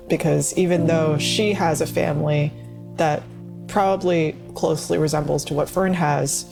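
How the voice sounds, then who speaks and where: A woman's voice: low voice, gravelly. A woman playing a tabletop game, explaining her character's feelings in a matter-of-fact way. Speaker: player. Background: background music.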